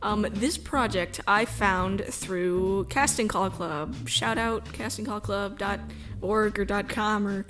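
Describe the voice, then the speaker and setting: A woman's voice: mid-range voice, slow pace, music in the background. Easygoing, rambling woman in casual chat. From US Midwest. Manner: easygoing, rambling, laughs often. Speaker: voice actor, interview guest.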